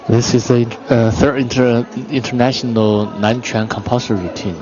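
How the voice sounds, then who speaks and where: Halting male expert commentator, dull broadcast sound. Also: medium pitch, steady tone. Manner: halting, explanatory non-native English. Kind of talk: technical martial arts commentary. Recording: muffled broadcast audio, music behind. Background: music in a venue.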